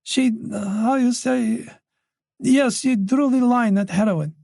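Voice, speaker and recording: A man voicing an older mother who talks quickly and insistently. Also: extremely high pitch, gravelly, darkish tone, no echo, voice pushed up, full character voice. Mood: earnest. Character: worried older French mother, forthright and talkative.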